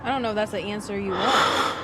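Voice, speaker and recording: Female game streamer, casual reactions, mid-pitched voice, loud music behind. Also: quick pace. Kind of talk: casual commentary over gameplay.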